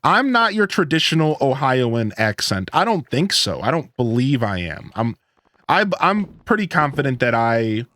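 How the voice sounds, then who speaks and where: A man's voice: medium pitch, big pitch swings, moderate pace, bright, forceful tone, no echo. A man from Ohio with a Midwestern twang, chatting casually with live-stream viewers in a rambling, laid-back way. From Northeast Ohio, USA.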